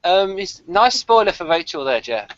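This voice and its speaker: Male review co-host. Wry, higher voice, big swings in pitch, casual. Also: very animated, moderate pace, no echo, highs cut off. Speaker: podcast co-host.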